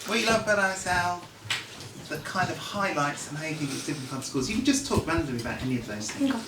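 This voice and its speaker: A man's voice: on the high side, sing-song, fast talker, crowd noise. A middle-aged man, a head of school, casually interviewing pupils with prompting, encouraging questions. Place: school room. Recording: echoey room with background noise.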